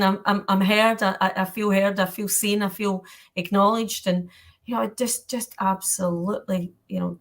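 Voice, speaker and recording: A woman hosting an informal panel discussion, talking in a chatty, rambling way. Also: mid-range voice. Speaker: panel host.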